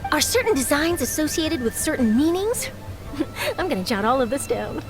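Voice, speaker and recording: An upbeat young female reporter, full of questions and enthusiasm. Her voice is very high and lively in its swings. Game music sits behind her. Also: normal speed. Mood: eager. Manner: enthusiastic, inquisitive.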